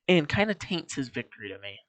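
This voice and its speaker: A man's voice: on the high side, sing-song, fast, no echo. Man giving casual commentary, dry close sound. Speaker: video host giving opinions on an anime series. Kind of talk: casual commentary ranking a cartoon series.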